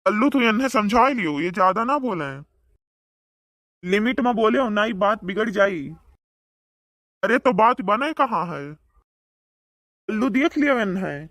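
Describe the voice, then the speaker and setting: A man's voice: high voice, lively intonation, measured pace, long pauses, a bit bright, dry recording, studio quality. A man voicing a boastful, bickering village man in a comedy cartoon, speaking with an Awadhi accent and taunting banter. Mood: quarrelsome. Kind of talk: comedic cartoon dialogue in rural dialect.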